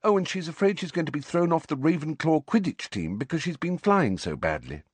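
A man performs a know-it-all teenage schoolgirl for a storybook. The voice is raised well above his own, brisk and precise, with an earnest, exasperated, lecturing manner. The sound is dry and close. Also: on the high side, sing-song, breathy edge, no echo, voice pushed up. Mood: patient explaining.

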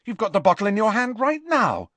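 A man's voice: sits high, sing-song, fairly quick, fairly bright tone, no echo, voice pushed up, full character voice. A man reading aloud and voicing an indignant teenage schoolgirl who scolds in a raised, alarmed voice. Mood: alarmed scolding.

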